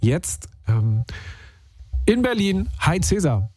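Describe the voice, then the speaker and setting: A man's voice: high voice, sing-song, slow pace, dark tone, slightly rough, no room sound. A man hosting a radio call-in show, chatty and upbeat, using youth slang. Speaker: radio host. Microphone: studio microphone.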